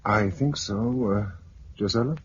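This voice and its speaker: A man's voice: on the low side, a little slow, lightly acted. Actor as practical young Englishman, muffled vintage radio. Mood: matter-of-fact. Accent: British. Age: 20s. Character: practical, laconic young Englishman, a triffid grower. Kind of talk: 1950s British radio drama acting. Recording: muffled, hissy 1950s radio recording.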